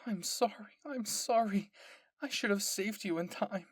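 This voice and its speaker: A man's voice: sits high, a little slow, no room sound, clean studio sound, voice pushed up. A man voicing a distraught, guilt-ridden young woman soldier in an audio story, crying out and whispery. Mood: tearful, apologetic.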